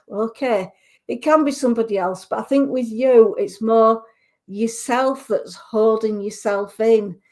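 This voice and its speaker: Slow-talking Yorkshire woman, gentle and reassuring. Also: medium pitch. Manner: gentle, reassuring, with many hesitant restarts. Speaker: clairvoyant giving readings. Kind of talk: live psychic reading on a radio show.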